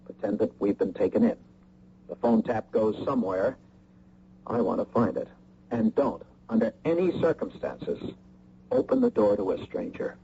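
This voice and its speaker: An actor playing a cool, guarded young American husband who secretly works as a counter-spy in a radio drama. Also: male voice, lowish voice, big pitch swings, normal speed, creaky, warm tone. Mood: grave, wary. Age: young adult. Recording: dull, lightly noisy old radio recording.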